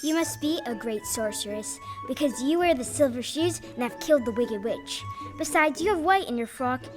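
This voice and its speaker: Small fantasy villager played by a woman: high voice, formal, deferential, earnest, stage audio play with music underneath. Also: animated, moderate pace. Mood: respectful. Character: courteous, solemn Munchkin villager, helpful and cautioning. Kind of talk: stage radio play acting.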